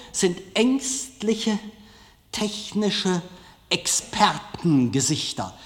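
A man giving a public lecture in a deliberate, halting way with dramatic pauses. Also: fairly high pitch, a bit bright. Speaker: film director giving a lecture. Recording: echoey hall, long reverb.

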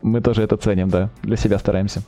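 Low-voiced mellow man presenting products. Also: little pitch movement, fast talker, faint music behind. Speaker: company representative, project manager, interview guest. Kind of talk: informal product presentation and answers.

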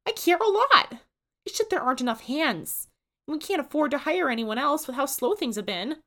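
Woman doing a high, drawling diner owner. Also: animated, normal speed, a bit bright. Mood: pleading. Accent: Southern US drawl. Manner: high, emotional, earnest. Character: cheerful middle-aged woman diner owner.

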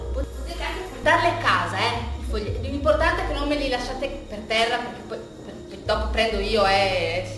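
An actress plays a high-voiced woman looking back on her life in a stage monologue. She rambles in a halting, confiding, colloquial way, repeating herself as she goes. The sound is very echoey and far from the microphone, with faint noise. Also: lightly acted. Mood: hesitant, apologetic. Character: rambling, bittersweet woman reminiscing about her athletic youth. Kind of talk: theatrical monologue, conversational storytelling. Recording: echoey room, distant mic, faint noise.

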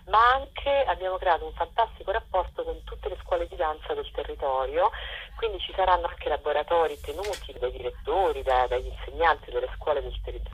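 A woman's voice: deep voice, slightly rough. A woman speaking as a festival director in an informal radio interview, hesitant and searching for words. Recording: dull lo-fi telephone line.

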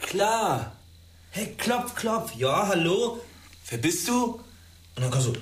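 A man's voice: sits high, sing-song, slow pace, slight background noise, exaggerated voice. A man playing a sarcastic, jumpy teenage schoolboy in a radio drama, mocking and stammering under stress. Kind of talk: naturalistic radio drama acting.

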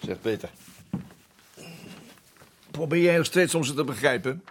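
An actor playing a blunt, world-weary colonial army captain in a radio play, talking in a familiar, musing way. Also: male voice, fairly high pitch, expressive, a little slow, slight background noise. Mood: exasperated.

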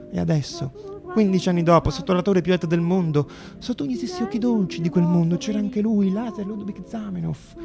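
A man's voice: high voice, vocal fry. A man narrating radio theatre as an actor-storyteller, dramatic and emphatic, repeating phrases for effect.

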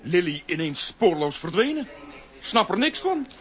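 A man's voice: high voice, big pitch swings, measured pace, a bit bright, heavily acted. An actor playing a blunt, brave strongman who speaks in clipped, childlike broken sentences in a children's radio play. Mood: urgent. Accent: Flemish. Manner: telegraphic, childlike broken grammar. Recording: very noisy old audio with clicks.

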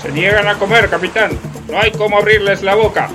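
An actor in a radio drama playing a rough, alarmed sailor who reports urgently to the captain. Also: medium pitch, fairly quick, over music, lightly acted. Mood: disgusted, reporting. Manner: urgent, calling out. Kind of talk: community radio drama acting.

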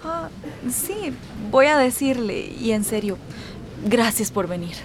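Grieving, courteous mother, fairly high voice, Costa Rican accent, crowd behind, radio drama. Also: big pitch swings, slow. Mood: sorrowful, polite. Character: subdued, grieving, polite mother at a funeral. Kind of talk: Spanish-language radio drama acting.

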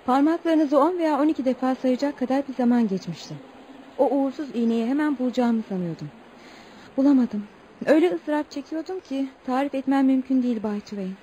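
Woman acting a high-voiced earnest young bride-to-be. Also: a little fast, dark tone, muffled recording, lightly acted. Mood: anguished. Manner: earnest, theatrical storytelling. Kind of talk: Turkish radio theatre acting. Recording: noisy old radio drama recording.

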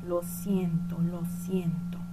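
A woman's voice: lowish voice, little pitch movement, slow. A woman playing a busy, sarcastic, distrustful female prefect in an amateur radio play. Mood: busy, irritated. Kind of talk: amateur Spanish-language radio play acting. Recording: very noisy home recording, loud ambience.

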